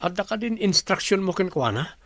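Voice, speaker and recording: An actor playing a stern, demanding company boss in a radio drama, with a gravelly voice. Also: male voice, high-pitched, animated, brisk, fairly bright tone, dry recording. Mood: businesslike.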